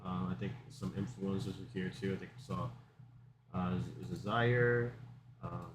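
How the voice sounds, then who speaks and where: A man's voice: low-pitched, flat delivery, measured pace. Us guy setting up lobbies on stream, chatty and upbeat, lil bit of noise behind. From United States. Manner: upbeat, chatty, reads out player names. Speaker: community manager running game rooms. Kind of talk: casual live-stream play-by-play.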